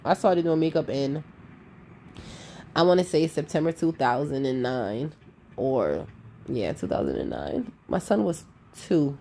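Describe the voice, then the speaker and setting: Sassy, nasal woman with a low, mellow voice. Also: big pitch swings, unhurried, a little hiss or hum. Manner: sassy, emphatic, talks to viewers as "girl". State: nasal from a cold. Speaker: makeup artist. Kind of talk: voice-over narration of a makeup tutorial.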